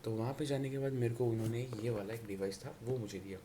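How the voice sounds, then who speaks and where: A man's voice: medium pitch, quick pace, warm tone. A man with a Mumbai Hindi accent talking casually to viewers as a motorcycle vlogger, explaining colloquially and repetitively with English words mixed in. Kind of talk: casual vlog talk to viewers. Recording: noisy background, ambient and outdoor hum.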